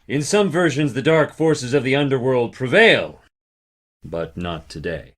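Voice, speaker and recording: A man's voice: mid-range voice, lively intonation, measured pace, a bit bright. A man voicing a grave stage storyteller playing a Greek god in a video game, narrating theatrically. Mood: portentous.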